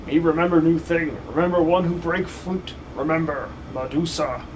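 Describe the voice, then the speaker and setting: A man's voice: a little high, normal speed, natural voice. Guy doing a dim earnest stone golem for a game dub, caveman grammar, bit of background noise. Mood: blunt, earnest. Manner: broken caveman-like grammar, short blunt phrases. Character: simple-minded male stone golem, blunt and earnest. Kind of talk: amateur video game dub.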